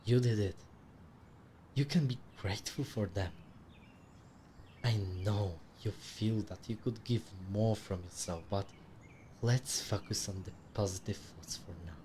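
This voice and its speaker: Low-voiced man guiding a meditation over birdsong. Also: warm tone, slight background noise. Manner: measured, instructive delivery with long pauses. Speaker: meditation guide. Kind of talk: guided meditation narration, slow and soothing. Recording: clearly audible background ambience with birdsong. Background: birdsong and nature ambience.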